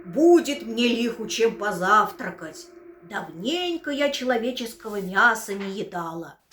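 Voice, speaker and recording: A woman voicing Likho, a huge menacing one-eyed ogress, loud and gloating. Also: medium pitch, expressive, measured pace, slight background noise. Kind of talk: puppet theatre storybook reading.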